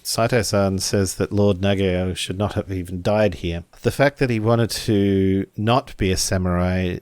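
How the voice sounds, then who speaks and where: A man runs a tabletop roleplaying game as game master. His voice is low and his delivery slow and deliberate, pausing between phrases as he narrates. The sound is dry and close. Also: steady tone, a little mellow, clean tone, no room sound.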